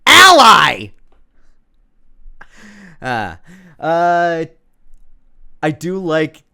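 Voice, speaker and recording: A man's voice: on the high side, huge pitch swings, extremely slow, creaky, pushed and bright. A man giving casual, off-the-cuff commentary as a creator looking back on his own work. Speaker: creator reviewing own parody episode.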